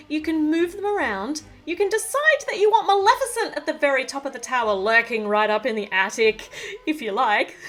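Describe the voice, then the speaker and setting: A woman with a high voice that rises and dips in an animated way. She narrates a toy build for children in a chatty, playful style, full of exclamations, with music behind her. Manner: talks to the viewer. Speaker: toy review presenter.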